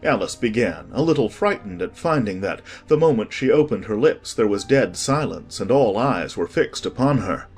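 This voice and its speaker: An audiobook narrator reading a children's story in a measured, expressive scripted style. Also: medium pitch, husky, faint music behind.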